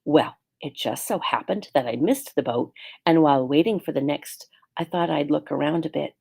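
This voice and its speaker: A woman's voice: sits low, moderate pace, gravelly, a little mellow. A woman voicing a polite old female alley cat from a storybook, a well-traveled creature who talks courteously, like someone telling tales. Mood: reminiscing.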